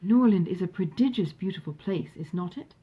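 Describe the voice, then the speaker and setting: A woman's voice: on the low side, lively intonation, a little fast, breathy edge, muffled recording. A woman reading aloud as a gushing, chatty, forward young lady, effusive and emphatic, in a storybook. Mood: prying, eager. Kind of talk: acted dialogue in a storybook reading.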